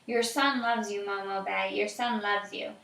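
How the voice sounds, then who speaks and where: A woman's voice: mid-range voice, steady tone, unhurried, gravelly, fairly bright tone. Woman voicing a frightened young soldier boy. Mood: grieving. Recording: echoey room, distant mic.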